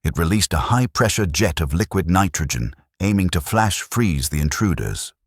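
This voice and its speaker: A man narrating a science fiction audiobook in a dramatic, measured delivery. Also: deep voice, expressive, husky, dry recording, studio quality.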